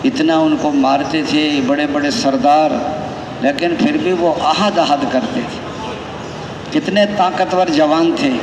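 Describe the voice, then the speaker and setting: A man preaches in a Pakistani accent with a fairly high voice. He exhorts in a conversational way, asking rhetorical questions and repeating key phrases. The recording rings with long hall reverb over clear background noise. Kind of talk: Tablighi sermon with anecdotes.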